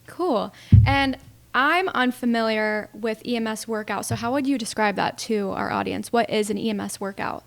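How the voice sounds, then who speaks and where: A woman's voice: fairly high pitch, moderate pace, vocal fry. Upbeat woman hosting a podcast. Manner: upbeat, short affirmations. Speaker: podcast host. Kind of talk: podcast interview.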